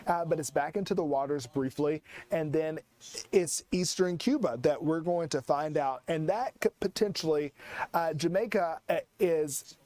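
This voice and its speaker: Halting male TV weather forecaster. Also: fairly high pitch, darkish tone. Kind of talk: unscripted live weather commentary.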